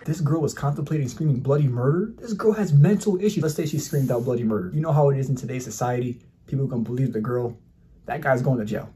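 A young man rattles off casual reaction commentary at a very rapid clip, boasting and mocking as he goes. His voice sits on the dark, mellow side. He sits close to the camera in a small indoor room. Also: mid-range voice, lively intonation. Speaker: commentary YouTuber.

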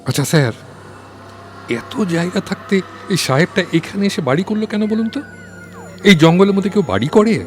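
A man acting an anxious, eager subordinate in an audio story, respectful and questioning, quick to get excited. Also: high-pitched, faint music behind. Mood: curious, muttering. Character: Nanda, deferential, anxious, eager male subordinate.

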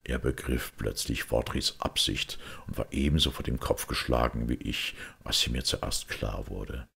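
A man with a gravelly voice reading a detective story as scripted narration. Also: rumbling low, steady tone, moderate pace, fairly bright tone. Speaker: audiobook reader.

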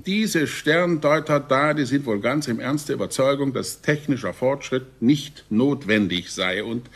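An actor playing an agitated, authoritative official in a radio drama, speaking in a pointed, formal, insinuating way. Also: male voice, mid-range voice, unhurried. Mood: indignant. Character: agitated, authoritative male official scheming against outsiders.

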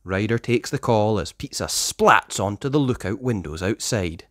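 A person reading a children's story aloud in expressive narration. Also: mid-range voice, moderate pace, dry recording. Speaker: storybook reader.

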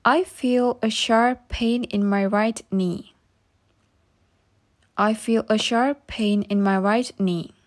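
A woman reading short English practice phrases slowly and saying each one twice for learners. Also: fairly high pitch, fairly even intonation. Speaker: English teacher.

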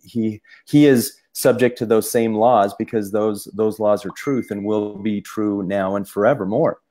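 Low-voiced male co-host, casual and halting, full of fillers and restarts. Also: steady tone, dark tone. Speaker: podcast co-host. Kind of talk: casual discussion.

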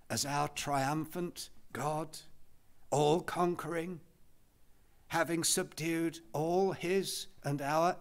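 Older man, pastor, slow deliberate delivery, lectern mic in a church hall. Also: on the high side, vocal fry. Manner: measured, deliberate preaching with gestures at a lectern. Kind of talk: expository Bible study sermon. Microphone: lectern microphone.

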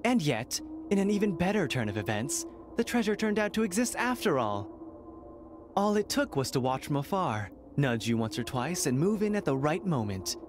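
An actor playing an arrogant, mocking noble heir in a video game, with theatrical, smug sarcasm. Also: male voice, on the high side, very animated, normal speed, background noise. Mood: gloating.

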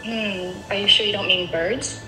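A woman's voice: mid-range voice, normal speed, pushed and bright, echoey room, faint music behind. A woman bantering casually and playfully on a phone call played over a speaker. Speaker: phone call recipient, a fan.

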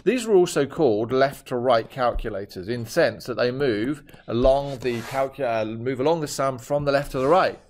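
A man's voice: medium pitch, moderate pace, few pauses, darkish tone. A man in his fifties with a British accent that is drifting toward Australian, teaching a maths lesson over a screen recording with jokey, chatty asides. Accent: British, shifting toward Australian. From Britain.

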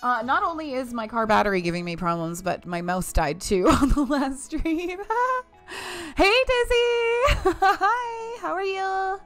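A woman's voice: high-pitched, lively intonation, unhurried, fairly bright tone, faint music behind. A young woman streaming a mobile shooter, chatting casually with viewers and laughing often. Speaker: game streamer. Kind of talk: casual live-stream chat while playing.

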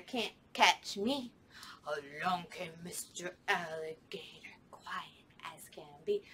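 A woman's voice: fairly high pitch, sharp tone. A young woman, a children's librarian, leading a storytime with rhymes in a sing-song, playful way, recorded indoors in a library by a camera a few steps away.

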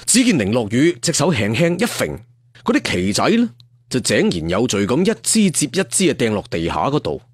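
A man's voice: medium pitch, wildly swooping pitch, brisk, slightly rough, no room sound. A man telling a story theatrically, like a traditional serial storyteller with asides to listeners. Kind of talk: dramatic Cantonese audiobook storytelling.

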